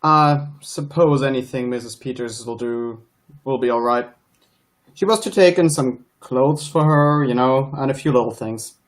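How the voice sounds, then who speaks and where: A man's voice: mid-range voice, normal speed, lightly acted. A man playing a dismissive, jovial county sheriff in a live radio play, talking in a folksy way. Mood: matter-of-fact.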